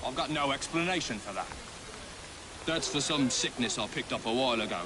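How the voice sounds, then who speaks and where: A man's voice: mid-range voice, expressive, a little fast, background noise, lightly acted. A man playing a sickly, guarded working lad with a grudge, in video game cutscene dialogue. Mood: evasive. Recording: clear music behind the voice.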